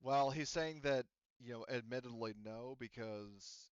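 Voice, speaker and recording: A man running a tabletop game as game master, explaining the situation off the cuff in a halting, rambling way and often qualifying himself. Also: medium pitch, clear, resonant tone, no room sound, dull audio.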